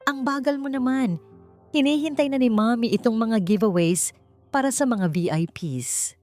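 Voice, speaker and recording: A woman's voice: fairly high pitch, moderate pace, mellow, no echo, faint music behind, pitched above the performer's own voice, lightly acted. Woman playing a smug, spoiled young stepsister. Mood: impatient, haughty. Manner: sneering, taunting, mixes English into Tagalog.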